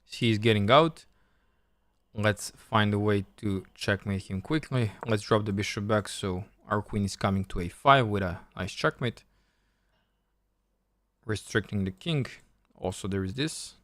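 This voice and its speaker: Low-voiced Serbian man explaining chess. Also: a little slow, fairly bright tone, no room sound. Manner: thinks aloud, explains step by step. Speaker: chess streamer and coach. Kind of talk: live stream chess commentary and teaching.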